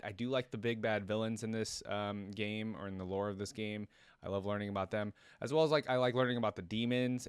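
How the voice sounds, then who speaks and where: A man with a fairly low, smooth voice hosts a casual reaction vlog. He thinks aloud in loose tangents and addresses viewers informally. The sound is dry and close, with very little room on it. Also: no room sound. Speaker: YouTube reaction host. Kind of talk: casual, rambling solo reaction commentary to viewers.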